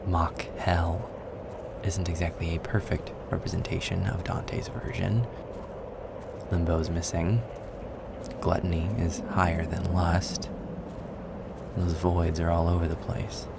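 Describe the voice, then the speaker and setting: A man playing a snarky, weary, well-spoken demon guide in an intimate audio roleplay, with a husky, gravelly voice and a dry, wordy manner. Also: low voice, heavy background noise. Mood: wry, patient. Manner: sarcastic, wordy, dry, deliberate. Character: snarky, weary, erudite male demon guide trapped in hell.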